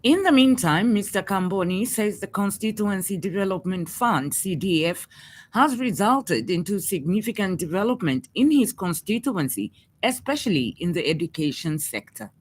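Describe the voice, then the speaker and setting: A woman with a Zambian accent reading a scripted news bulletin as a radio presenter in a formal, measured manner. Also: medium pitch, animated, breathy edge.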